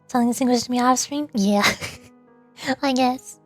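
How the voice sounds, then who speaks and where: High-voiced, rambling young woman streamer. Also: unhurried, a little mellow, no echo, faint music behind. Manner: rambling and hesitant, with many fillers and restarts. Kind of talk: casual live-stream chat.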